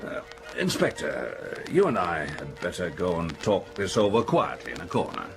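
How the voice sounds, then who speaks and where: A man's voice: low voice, wildly swooping pitch, normal speed, full character voice. An actor playing a pompous, irritable middle-aged factory owner and father in a radio drama, with a blustering, authoritative manner. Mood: blustering, wary. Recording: music under the voice.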